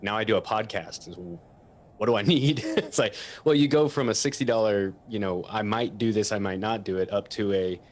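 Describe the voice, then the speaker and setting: A man's voice: fairly low pitch, normal speed. A man chatting casually as a panelist in an online session from a small office, rambling a little and poking fun at himself. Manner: self-deprecating, rambling. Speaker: panelist who teaches about microphones. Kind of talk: casual chat in a webinar.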